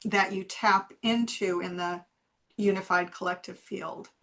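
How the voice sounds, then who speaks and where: A woman's voice: mid-range voice, fairly even intonation, measured pace, fairly bright tone. A woman guest on a spiritual talk show, chatty and agreeable as she explains channeling. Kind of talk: casual interview chat.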